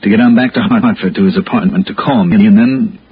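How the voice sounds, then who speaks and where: A man's voice: lowish voice, fairly quick, husky. A man playing a shrewd, dry private investigator who also narrates a 1960s radio detective drama. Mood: polite, guarded. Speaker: lead and first-person narrator. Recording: muffled 1960s radio broadcast, music behind.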